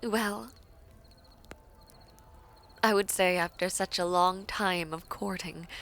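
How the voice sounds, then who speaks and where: A woman playing a devoted, teasing princess in a romantic audio roleplay, speaking formally and coyly with breathy, whispery softness. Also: medium pitch, slight background noise. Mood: moved, loving. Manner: slow, formal, coy, often dropping to breathy whispers.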